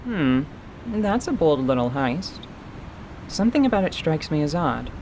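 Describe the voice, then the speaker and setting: A woman plays a female mercenary leader in a fantasy radio drama. She is deliberate and commanding, with dry, dark humor delivered deadpan. Noticeable noise sits behind the voice. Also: low-pitched, full character voice, poor audio. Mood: dry, curious. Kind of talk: radio theatre character acting.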